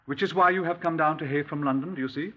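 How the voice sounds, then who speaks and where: Male actor as Welsh police sergeant, talkative, parochial, husky, quaint phrasing, radio drama, muffled old recording. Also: a little high, fast, natural voice. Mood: gossipy, explanatory. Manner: quaint, emphatic, tag questions. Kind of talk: 1950s radio drama acting. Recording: dull, husky-edged 1950s radio recording.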